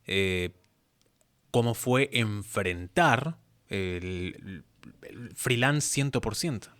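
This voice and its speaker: A man's voice: fairly low pitch, animated, extremely slow, fairly bright tone. A man with a Rioplatense Argentine accent interviewing a guest in a casual, conversational way. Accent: Rioplatense Argentine Spanish. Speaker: interviewer and host.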